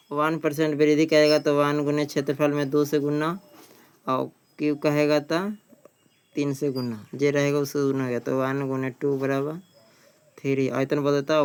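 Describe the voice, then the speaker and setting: Flat-toned man teaching, clear smooth voice. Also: on the high side. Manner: plain-spoken, repeats phrases as he reads. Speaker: teacher, exam coaching. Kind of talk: reading out practice questions and answers as a lesson.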